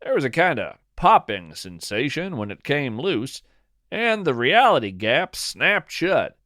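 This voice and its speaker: A man narrating a scripted first-person story as a podcast host, in a whimsical, deliberate and dryly playful manner. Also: medium pitch, sing-song, pushed and bright, no echo, studio quality.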